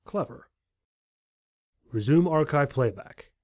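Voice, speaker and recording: A man's voice: fairly high pitch, animated, slow, noisy background. A man playing a terse, musing archive reviewer in an audio drama, giving short playback commands.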